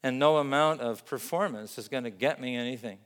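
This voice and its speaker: Man, pastor, earnest measured sermon, mid-pitched voice, long hall reverb. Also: clean tone. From Vietnam. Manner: earnest, measured preaching with emphatic lists.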